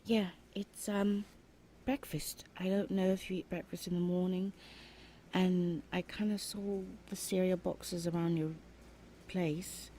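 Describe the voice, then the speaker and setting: A young adult woman voicing a shy, sweet roommate in an ASMR audio roleplay, speaking softly and hesitantly with breathy whispered asides. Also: on the low side, flat delivery, a little slow, a little hiss or hum, lightly acted. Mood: eager, sweet. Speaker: voice actor.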